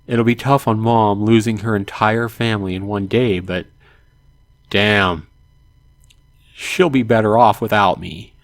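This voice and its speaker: A man hosting a let's play, reading a visual novel aloud in a dramatic way and adding hushed asides. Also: lowish voice, steady tone, measured pace, pauses often, no room sound. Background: game music.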